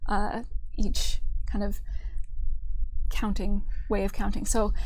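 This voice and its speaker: A woman's voice: medium pitch, monotone, slow pace, darkish tone, slight background noise, rough recording. A woman speaking as an event organizer in casual, unscripted livestream chat. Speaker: convention organizer on a company livestream.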